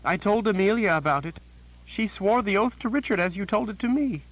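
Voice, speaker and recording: A man's voice: high-pitched, lively intonation, brisk, fairly bright tone, dry recording, pitched above the performer's own voice, rough recording. A man voicing a frightened, pained captive woman who speaks in a trembling whisper.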